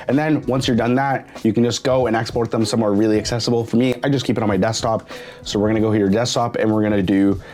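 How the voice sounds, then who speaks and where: A young man with a raspy voice from getting over the flu, talking viewers through a video editing tutorial in an upbeat, direct way. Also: medium pitch, darkish tone. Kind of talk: scripted tutorial talk.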